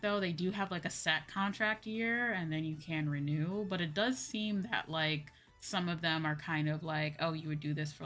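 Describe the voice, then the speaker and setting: A woman's voice: deep voice, creaky, a bit bright. A young woman, a livestream host chatting casually in an informal, rambling way and repeating phrases for emphasis. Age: young adult. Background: background music.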